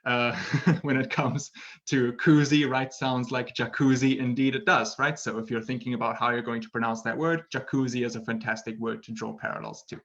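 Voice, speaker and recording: A man's voice: medium pitch, fairly even intonation, moderate pace, fairly bright tone, dull audio. A male English teacher giving a casual webinar lesson, talking in a playful way and tagging his sentences with "right?". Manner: chatty, tags sentences with "right?"; laughs at himself, playful. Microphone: computer mic for an online webinar.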